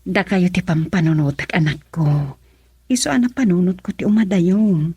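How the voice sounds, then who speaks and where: A woman's voice: lowish voice, animated, normal speed, dark tone, breathy edge, dry recording, exaggerated voice. A woman with an Ilocano accent playing an earnest, self-sacrificing middle-aged mother in a radio drama, hesitant and pleading.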